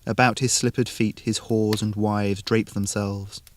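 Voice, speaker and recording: A man reading an atmospheric short story in a deliberate, measured, literary manner. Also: fairly low pitch, level tone, a little hiss or hum. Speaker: narrator.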